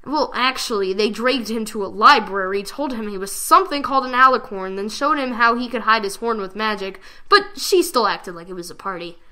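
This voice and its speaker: A woman giving a dramatic reading of a fan fiction story, with expressive delivery. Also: fairly high pitch, brisk, hardly any pauses.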